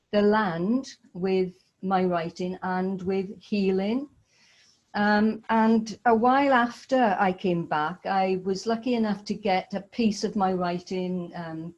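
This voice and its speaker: A woman's voice: medium pitch, darkish tone. South Wales woman chatting to an audience. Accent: South Wales Valleys. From South Wales Valleys. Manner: friendly, chatty, with frequent ums. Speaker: writer giving a talk. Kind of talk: casual presentation to an audience. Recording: dull lo-fi recording.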